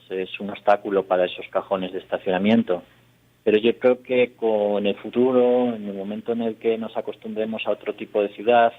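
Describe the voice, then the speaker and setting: A man from Spain, an urban mobility expert, speaking by phone in a radio interview, explaining at length with many hesitations and fillers. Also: on the low side. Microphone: telephone. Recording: tinny phone line.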